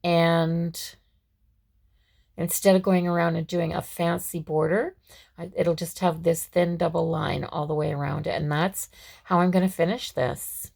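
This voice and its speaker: A woman with a scratchy, hoarse voice, chatting through a craft tutorial at her work table with lots of fillers and restarts. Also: fairly low pitch, flat delivery, measured pace, a little mellow. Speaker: craft vlogger. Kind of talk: casual step-by-step craft tutorial.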